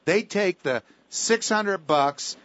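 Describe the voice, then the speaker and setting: A man's voice: high voice, animated, slow pace, a bit bright, lo-fi sound. A man hosting a talk radio show, talking with callers and guests in an emphatic, preacher-like way with a lot of repetition.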